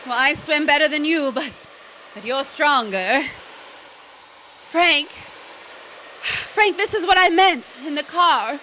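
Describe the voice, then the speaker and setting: A woman plays an intense, anxious lover in an old-fashioned stage melodrama. Her voice is high and bright, with earnest, halting, dramatic delivery. There is faint noise on the live recording. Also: expressive, full character voice, rough recording. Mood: breathless, desperate.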